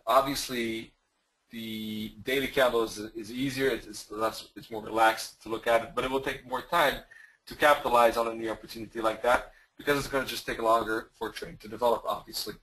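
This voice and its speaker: A man talks through charts on a webinar, his voice strained and fading as he keeps clearing his throat. His delivery is loose and chatty, with restarts and fillers. His pitch sits in the middle of the male range. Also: fairly even intonation, a little fast, fairly bright tone, rough recording. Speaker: trading webinar presenter. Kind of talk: screen-share webinar explanation.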